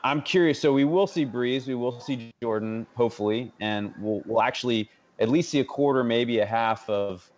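A man's voice: mid-range voice, darkish tone. Male co-host, slightly muffled podcast audio. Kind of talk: casual sports chat.

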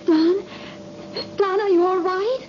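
Anxious, high-voiced haunted wife. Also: female voice, fairly even intonation. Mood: anxious, pleading. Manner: fraught, dramatic, halting delivery. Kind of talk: old-time radio drama acting. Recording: dull, noisy old radio recording.

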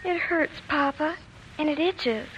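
A high, bright little girl's voice, earnest and plain-spoken in a childlike working-class way. She is a loving daughter and protective big sister in an old radio drama, with faint noise on the broadcast. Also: measured pace, dull audio. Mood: worried. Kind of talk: 1940s radio drama acting.

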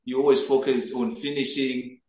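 A man's voice: mid-range voice, flat delivery, moderate pace, a little mellow. A young man from Zimbabwe who plays as a goalkeeper, answering interview questions earnestly and often repeating words as he searches for them. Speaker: footballer, goalkeeper. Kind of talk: interview answers over a remote link. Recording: echoey room.

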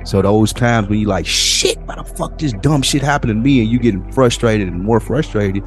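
Deep-voiced man chatting on a podcast. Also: normal speed, soft background music. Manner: laid-back, rambling, swears freely. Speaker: podcast co-host. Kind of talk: casual podcast chat.

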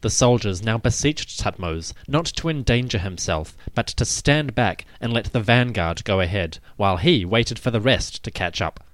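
A man giving a scripted, dramatic narration of ancient history in a measured, solemn storytelling manner. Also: fairly low pitch, lively intonation, dry recording. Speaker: podcast host and narrator.

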